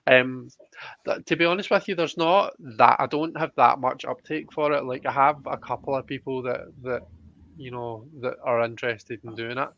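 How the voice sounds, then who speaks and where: A man's voice: medium pitch, steady tone, moderate pace, gravelly, a bit bright, dry recording, highs cut off. A man hosting a casual livestream, chatting informally and a little hesitantly. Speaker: host of a live tarot reading show. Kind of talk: casual livestream chat, reading out comments.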